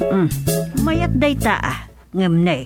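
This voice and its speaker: A woman's voice: mid-range voice, sing-song, slow pace, over loud music. A woman with an Ilocano accent playing a chatty, practical village schemer in a comedy radio drama. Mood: agreeable.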